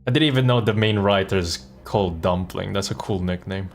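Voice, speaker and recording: Low-pitched man rambling casually on a game stream. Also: brisk, soft background music. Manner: rambling, thinking aloud. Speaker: live streamer. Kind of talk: casual chat while playing a game.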